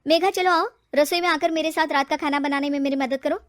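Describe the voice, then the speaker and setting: A woman voicing a sharp-tongued middle-aged mother-in-law who scolds loudly and bossily. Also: steady tone, quick pace, close to an everyday voice. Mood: bossy. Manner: shrill, loud and bossy.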